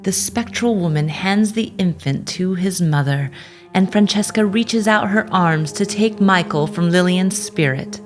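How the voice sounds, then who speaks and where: A woman's voice: lowish voice, unhurried. A woman narrator telling a scripted story theatrically for an audio drama. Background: music.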